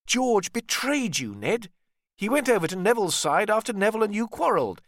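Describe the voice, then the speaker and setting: A man's voice: high-pitched, sing-song, fairly quick, no room sound, clean studio sound, voice pushed up. A man reading an audiobook, voicing an upset, resentful younger brother from a wealthy family in an emphatic, indignant way. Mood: bitter, accusing. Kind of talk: audiobook character dialogue.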